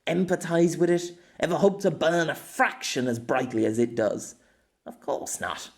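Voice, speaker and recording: A wistful former swordsman as a male narrator plays him in a storybook reading. His pitch swings freely as he reminisces haltingly, with a rueful, self-deprecating manner. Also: expressive.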